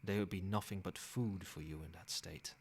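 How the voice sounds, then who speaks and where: A man playing a possessive, controlling old vampire in a soft-spoken, measured ASMR roleplay. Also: deep voice, fairly even intonation, a little hiss or hum. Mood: grave, explaining. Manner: measured, formal, commanding.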